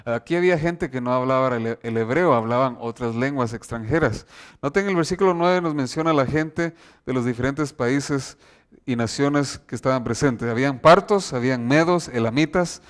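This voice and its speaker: A man's voice: medium pitch, moderate pace. A man preaching a sermon, reading scripture aloud and stressing key phrases with emphasis.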